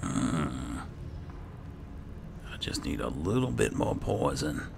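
A man with a low, gravelly voice giving casual game commentary, muttering asides to his companion. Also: huge pitch swings, slow pace, very noisy. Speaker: gameplay host and roleplayer. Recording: game music behind the voice. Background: loud game music.

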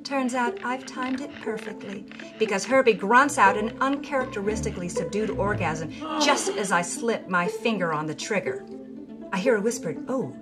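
A brash 1950s movie starlet, narrates her own memoir in an audio drama. Her delivery swings widely in pitch and is sardonic and theatrical, with the air of a wronged woman. Music plays behind her.

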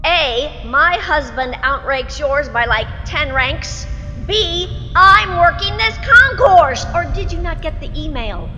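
Woman playing a haughty, high-voiced ghost wife. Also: expressive, slow pace, sharp tone, heavily acted. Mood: catty, indignant. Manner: eerie proclamations slipping into catty bickering. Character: haughty territorial ghost of a murdered major's wife. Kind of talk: audio drama acting. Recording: long ghostly reverb, music underneath.

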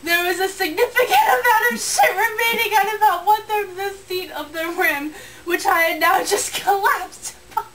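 A woman's voice: very high voice, moderate pace, fairly bright tone. A young woman reading a comic story aloud in a giggly manner, in a bedroom. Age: young adult. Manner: giggly, breaking into laughter while reading. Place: small bedroom, indoors. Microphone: handheld camera a short way off. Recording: distant mic, noticeable room noise.

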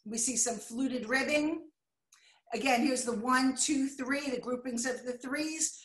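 Older woman lecturing over a video call, high voice, chatty and excitable, echoey room. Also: measured pace. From United States. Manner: chatty, excitable, exclaims and apologizes for slides. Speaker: art deco lecturer.

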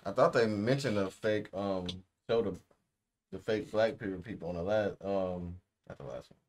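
Young American male co-host, lowish voice, relaxed reaction chat with plenty of slang. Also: normal speed. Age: young adult. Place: small indoor room.

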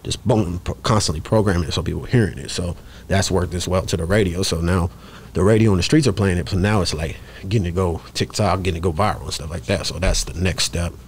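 A man's voice: low voice, fast talker, slight background noise. A man, talking casually and emphatically as an interview guest, repeating himself often. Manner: emphatic, repetitive, peppers talk with "you know what I'm saying". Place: small podcast studio in a shop. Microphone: close studio microphone on stand.

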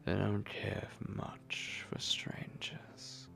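A man playing a possessive, hungry vampire lord in an intimate ASMR roleplay, breathy and commanding, speaking slowly with menace. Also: lowish voice, completely flat delivery, bright, forceful tone, full character voice. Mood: menacing, commanding. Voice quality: breathy, whispery, gravelly. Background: music.

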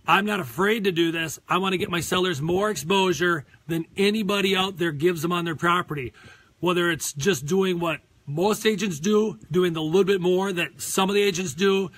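Hoarse Minnesotan man, outdoors on a phone. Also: high-pitched, fairly bright tone. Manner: rambling, earnest pep talk. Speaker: real estate agent. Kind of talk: live property tour vlog. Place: outdoors on a lakeside deck. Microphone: phone held at arm's length.

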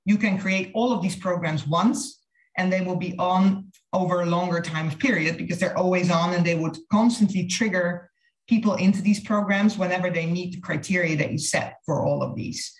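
A woman's voice: lowish voice, moderate pace. A woman giving a businesslike, explanatory slide presentation over a video call. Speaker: marketing consultant presenting a case study. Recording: roomy, echoey video-call audio.

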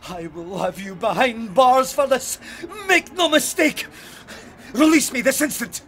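A man's voice: very high voice, unhurried, fairly bright tone. A man playing an outraged, stubborn, pious police sergeant in an audio drama, speaking formally and emphatically. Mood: stern. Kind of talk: full-cast audio drama acting.

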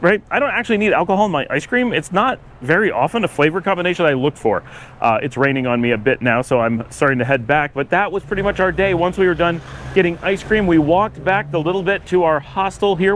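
A middle-aged man chatting casually in a walking vlog, rambling with lots of fillers and restarts, filmed outdoors on a city street with a camera held at arm's length. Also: on the high side. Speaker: travel vlogger. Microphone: handheld action camera at arm's length. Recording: faint outdoor street noise.

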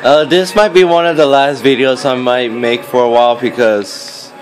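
A man's voice: medium pitch, fairly even intonation, normal speed, poor audio. A fourteen-year-old boy giving casual, hesitant, rambling gameplay commentary, recorded on a phone. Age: teenager, fourteen. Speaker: gaming video maker. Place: indoors, filming a game on a screen. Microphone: handheld phone. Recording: phone recording with game music behind. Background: game music.

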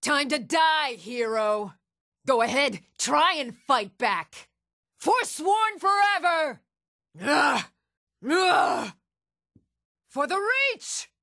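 A woman's voice: sits high, lively intonation, very slow, gravelly, long pauses, pushed and bright, dry recording, exaggerated voice. Savage female raider, taunting, menacing, gloating, fantasy game combat barks, dry sound. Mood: aggressive. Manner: menacing, jeering.

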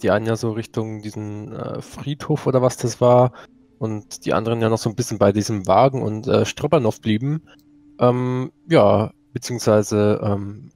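A man playing a tabletop game, casually recapping events in a halting way, searching for his words. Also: on the low side, darkish tone, dry recording. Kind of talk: casual spoken session recap.

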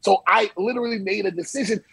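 A man in his forties joins the show by video call from a small room at home, with a raised, gravelly and somewhat husky voice. He tells stories in an animated, unfiltered way, swearing and circling back over his words. The audio is close and dry. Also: high-pitched, quick pace, no room sound. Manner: animated, hand-waving storyteller, swears freely and repeats himself. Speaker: DJ and interview guest. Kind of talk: casual podcast interview.

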